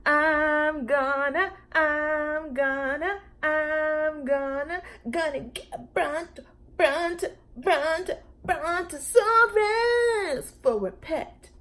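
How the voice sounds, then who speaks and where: A woman voicing a bold, bratty little girl in a read-aloud storybook, with loud, drawn-out, emphatic delivery. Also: sits high, soft background music, voice pushed up, exaggerated voice. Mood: sing-song, insistent.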